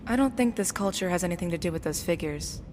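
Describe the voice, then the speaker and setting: Woman playing a stern, dry, lowish-voiced young woman. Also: fast talker, a little hiss or hum, close to an everyday voice. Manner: dry, deadpan, scolding. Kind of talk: audio drama acting.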